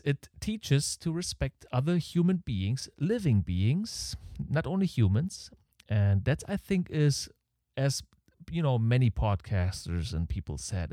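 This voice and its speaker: A man's voice: lowish voice, mellow, no echo, studio quality. A man with a German accent hosting his own podcast, rambling and opinionated, with his breathing audible on the mic. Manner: rambling, opinionated, self-deprecating. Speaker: podcast host. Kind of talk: casual solo podcast monologue. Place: home setup in Canada. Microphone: close podcast microphone.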